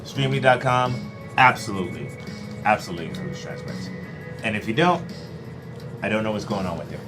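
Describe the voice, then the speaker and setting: A man's voice: lowish voice, brisk, over music. A man hosting a live stream in casual chat, playful and hyping things up. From United States. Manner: playful, animated, hypes things up. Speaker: stream host.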